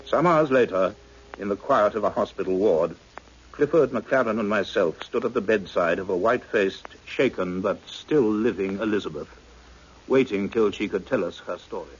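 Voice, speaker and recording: An actor playing a grave, fatherly doctor with intense, measured storytelling in a 1960s radio drama. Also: lowish voice, close to an everyday voice. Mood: grave, suspenseful. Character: grave, fatherly doctor of science narrating events. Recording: dull, noisy old radio recording.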